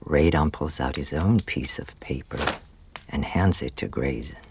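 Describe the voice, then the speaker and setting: A man's voice: rumbling low, measured pace, background noise. A man narrating a fantasy audio drama in a scripted, dramatic storytelling style. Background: music.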